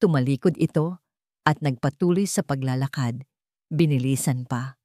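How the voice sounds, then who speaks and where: A woman's voice: rumbling low, normal speed, dark tone, no echo, studio quality. A woman with a Filipino accent reading a scripted romantic drama as a story narrator.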